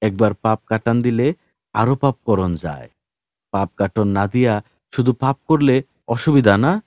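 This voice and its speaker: A man's voice: lowish voice, lively intonation, a little slow, a little mellow, dry recording. A man voicing a huge, naively earnest street hustler in an audiobook, speaking colloquial rustic dialect in a respectful, pleading way. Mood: earnest, worried.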